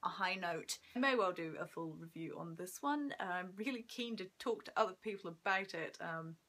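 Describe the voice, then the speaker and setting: Bright-voiced young woman chatting about books. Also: fairly low pitch, lively intonation, normal speed. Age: 20s. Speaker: book vlogger. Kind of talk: casual chat to camera, book review. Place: small room at home by a window.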